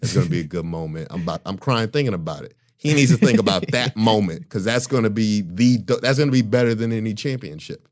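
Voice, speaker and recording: A man with a very low, deep voice, holding forth in a loose podcast conversation. He talks with emphasis and often breaks into laughter. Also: fast talker, hardly any pauses, darkish tone. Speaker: podcast co-host. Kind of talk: casual chat.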